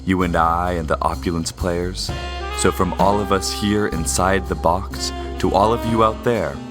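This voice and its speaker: Man acting as radio mystery host, very deep voice, ominous, grandiose, drawn-out, music behind. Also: fairly even intonation. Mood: ominous, inviting. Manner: brooding, grandiose, alliterative, drawn-out delivery. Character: ominous theatrical male radio host and narrator. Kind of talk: old-time radio mystery narration.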